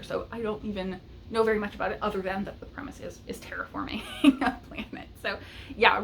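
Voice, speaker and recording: A woman's voice: mid-range voice, fairly bright tone. A woman chatting casually and enthusiastically to camera as a book vlogger, with small giggles breaking into her talk. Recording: noticeable background noise.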